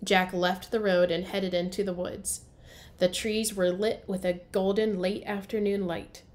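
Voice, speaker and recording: A woman teacher reads a children's storybook aloud at a slow, measured pace. Her pitch sits in the middle for a woman, and she phrases things simply for small listeners. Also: little pitch movement. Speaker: first grade teacher.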